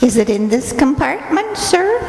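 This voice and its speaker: A woman's voice: sits high, sing-song, darkish tone, full character voice. A woman voicing a cheeky, sarcastic boy ventriloquist's dummy in an old-time radio comedy. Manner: sarcastic, quick comebacks. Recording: echoey hall, clear background noise.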